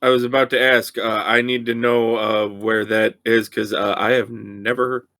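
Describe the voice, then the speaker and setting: A man's voice: on the low side, little pitch movement, moderate pace, fairly bright tone. A man playing in a tabletop game, casual and careful, stopping to check rules and details. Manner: careful, asks clarifying questions. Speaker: player. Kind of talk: casual chat.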